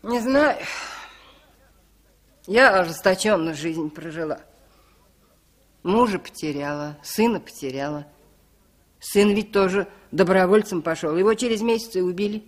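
A woman's voice: lowish voice, a little slow, long pauses. An actress playing a suspicious, careworn village widow in her sixties, plain-spoken and folksy, in a 1960s Soviet radio drama. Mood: sorrowful. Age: older.

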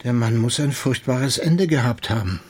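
A husky male superior played by an actor in a radio drama, wry and reflective as he puts his questions. His pitch is medium and his voice rough-edged. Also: normal speed, darkish tone, close to an everyday voice. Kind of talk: German audio drama dialogue.

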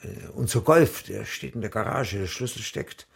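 An actor playing a frail, dying old widower in a radio drama, morbid and pleading, with a husky, gravelly, halting voice. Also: male voice, fairly low pitch, lively intonation, heavily acted. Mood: eager, halting. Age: older. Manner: halting, hesitant.

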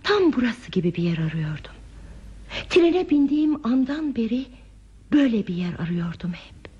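A pensive woman stranded on a journey in a radio drama. Her voice is fairly high and breathy, with deliberate, poetic phrasing. The old recording sounds muffled. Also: big pitch swings, warm tone, exaggerated voice. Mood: wistful, reflective. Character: pensive, troubled woman traveler stranded at a remote station. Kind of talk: Turkish radio theatre acting.

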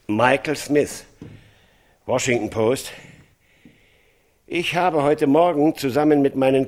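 An actor playing a newspaper reporter who gives a careful, solemn eyewitness account in a radio drama. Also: male voice, medium pitch, natural voice.

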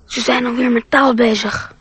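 An actress playing a dreamy, earnest young schoolboy in a radio play, slow and slightly husky. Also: female voice, fairly high pitch, steady tone, dry recording. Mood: defiant, musing. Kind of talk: radio drama acting.

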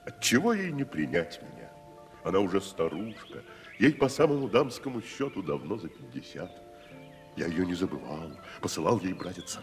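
Casting: pompous, shabby stage tragedian, middle-aged. Deep bass, slow and weighty declamation, radio drama style. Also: male voice, heavily acted. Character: proud shabby wandering tragedian actor, grandly theatrical. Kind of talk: 1970s theatrical radio play acting. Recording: reverberant radio drama with faint music.

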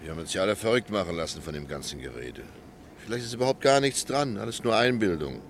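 A stubborn, uneasy kiosk keeper in a radio drama. The voice is very deep yet lively in pitch, with plain, curt delivery and slight background noise. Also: male voice, fast talker. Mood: dismissive. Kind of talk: German radio play acting.

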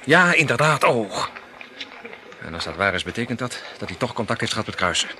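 A man acting in an old radio play, speaking in a measured, suspicious, commanding way. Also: mid-range voice, big pitch swings, sharp tone, a little hiss or hum. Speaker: radio drama actor.

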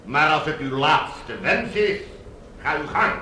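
A smug villain ruling a Mars colony, in a high, sharp voice. He is mockingly polite and commanding, in radio drama style. The room rings with echo. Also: expressive, slow, slight background noise, exaggerated voice. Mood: smug, menacing.

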